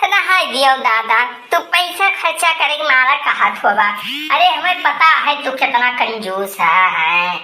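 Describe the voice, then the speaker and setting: Woman voicing sassy cartoon village woman, Awadhi accent, high-pitched, sharp bright tone, pouty gossipy teasing. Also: lively intonation, unhurried, roomy sound, full character voice. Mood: sulky. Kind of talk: comic cartoon dialogue in rustic dialect.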